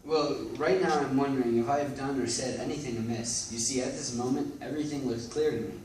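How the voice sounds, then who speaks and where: A man's voice: mid-range voice, fairly even intonation, a little fast, a little mellow, natural voice. A man playing a weary, anxious former investigator with memory loss in readers theatre. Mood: wistful, uneasy. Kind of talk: readers theatre stage acting. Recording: echoey room, distant mic, background noise.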